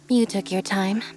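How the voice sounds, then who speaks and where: A woman playing a reserved, deadpan young female magician's assistant in an anime game, speaking tersely. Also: fairly high pitch, poor audio. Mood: unimpressed. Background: game music.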